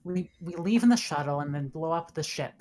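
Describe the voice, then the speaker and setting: Woman as commanding female space officer: low voice, blunt, decisive, suspicious, dramatic table read. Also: expressive, fairly quick, warm tone.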